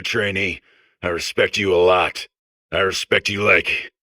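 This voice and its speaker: A man's voice: lowish voice, vocal fry, pushed and bright, no room sound, studio quality, exaggerated voice. A man playing an overeager, chummy robot core in a comedic video game, rambling earnestly with backhanded condescension. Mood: effusive. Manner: rambling, casual, insistently earnest.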